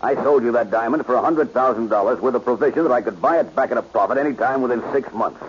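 An actor playing a demanding, menacing diamond owner in 1940s radio style. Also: male voice, mid-range voice, quick pace, natural voice. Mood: demanding, threatening. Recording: muffled, hissy old radio recording.

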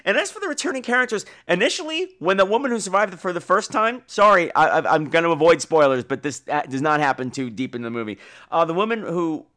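A man hosting a podcast, giving casual, opinionated and profane film commentary in an animated way. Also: a little high, fast talker. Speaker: podcast host.

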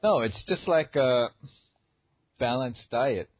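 A man's voice: mid-range voice, lively intonation, takes it slowly, no echo, muffled recording. A man in his twenties co-hosting a podcast in casual, teasing chat. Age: 20s. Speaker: podcast co-host.

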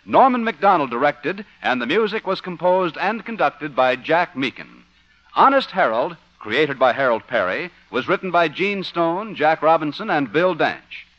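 A man's voice: a little high, lively intonation, moderate pace, no echo. An American man announcing in the scripted style of 1950s network radio. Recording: dull old-time radio recording. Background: music.

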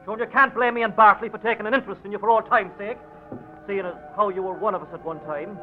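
A man's voice: high voice, fairly even intonation, fast talker, soft background music, lightly acted. A man playing a sly wheedling Irish snoop. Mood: needling. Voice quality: wheedling, needling. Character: sly nosy little male Irish tout. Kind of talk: 1940s radio acting. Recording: muffled old radio broadcast.

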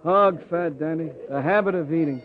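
A man performs a pushy New boxing manager with a high-pitched voice and boastful, wheedling talk. It is old-time radio acting, heard through a thin, noisy recording. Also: normal speed, phone-quality sound, lightly acted. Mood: boastful, jovial. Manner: Runyonesque phrasing, boastful, pushy. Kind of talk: 1950s radio drama acting.

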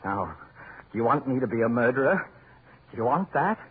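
An American actor playing a desperate, guilt-ridden husband trapped underground in 1940s radio horror, pleading in a halting, melodramatic way. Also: male voice, medium pitch, lively intonation, breathy edge. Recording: scratchy, narrow 1940s radio recording with hiss.

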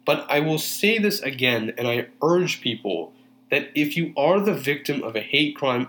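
Casting: young man, earnest and urging, forceful tone, plenty of fillers. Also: medium pitch, slight background noise. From Canada. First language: Urdu. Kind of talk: earnest monologue.

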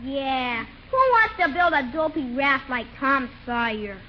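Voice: high-pitched, very animated, a little slow. A performer playing a small, contrary, whiny little boy in 1940s radio comedy, childish and plaintive. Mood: eager. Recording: muffled, very noisy 1940s radio recording.

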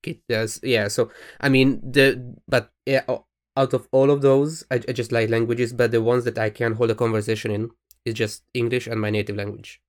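Hungarian-accented male podcast co-host, monotone, thoughtful, searches for words. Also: mid-range voice, moderate pace. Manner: thoughtful, restarts sentences while searching for words. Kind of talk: casual chat.

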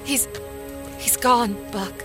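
Small-town library woman played by an actress: fairly high, teasing, reassuring, quick to help. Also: over music. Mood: somber. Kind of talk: audio drama acting.

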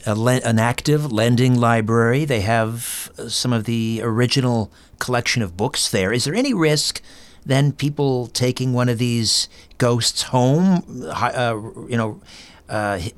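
A man hosting a radio-style podcast, moving between interview questions and sponsor reads. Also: mid-range voice. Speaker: podcast host.

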